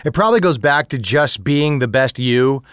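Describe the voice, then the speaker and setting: Clear-voiced man asking questions. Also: medium pitch, moderate pace, dry recording, studio quality. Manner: relaxed, asks questions, frequent short agreements. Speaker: interview host. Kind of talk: casual interview chat.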